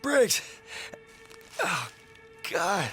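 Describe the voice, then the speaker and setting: An actor playing a frightened, struggling young soldier in a war game, halting and strained. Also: male voice, high voice, very animated, sharp tone, soft background music, heavily acted. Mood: distressed.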